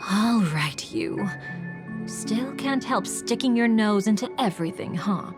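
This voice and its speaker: A woman's voice: mid-range voice, animated, over music, close to an everyday voice. A woman playing a sly, teasing memory manipulator in a video game, with languid, mocking delivery. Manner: languid, mocking, drawn-out taunts.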